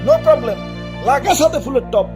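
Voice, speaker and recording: A man's voice: very high voice, animated, unhurried. A man with a Bangladeshi accent voicing a boastful, flirtatious, hot-tempered sun in a comic cartoon, swaggering and sarcastic. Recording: music behind the voice.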